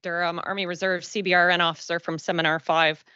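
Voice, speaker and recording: A woman's voice: fairly low pitch, level tone, fast, pushed and bright, clean tone, highs cut off. A woman, a student officer, asking a formal question from the audience of a lecture hall. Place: large lecture auditorium.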